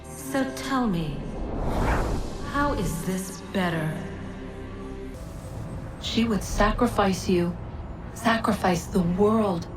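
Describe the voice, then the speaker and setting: A cold, persuasive goddess of a lost age, heavily echoed and set back from the mic. She declaims slowly and solemnly, with contempt, over loud dramatic game music. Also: medium pitch. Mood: solemn, prophetic. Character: cold, imperious female ancient godlike being, contemptuous and persuasive. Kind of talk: dramatic video game acting. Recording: echoing, distant voice under loud music.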